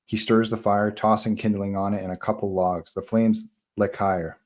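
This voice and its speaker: A man with a fairly low voice reads screenplay action and scene headings aloud. He narrates for a live table read. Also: flat delivery, moderate pace, darkish tone. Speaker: narrator reading stage directions.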